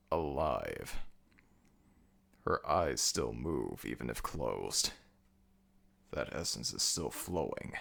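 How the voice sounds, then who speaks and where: A man acting as an imposing jackal-headed god of the dead in an ASMR audio roleplay, speaking slowly and formally with commanding, deliberate menace and curiosity. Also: rumbling low, creaky, a bit bright, full character voice. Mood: concerned, musing.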